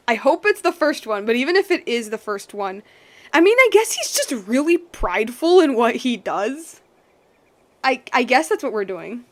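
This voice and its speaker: A woman giving casual game commentary, thinking aloud in animated, broken bursts. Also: high-pitched. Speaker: game streamer.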